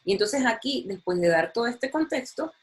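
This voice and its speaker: A woman's voice: on the high side, expressive, brisk. A woman from Chile, giving a recorded university lecture in a chatty, enthusiastic way, repeating words and using many fillers. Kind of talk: recorded online lecture with screen sharing.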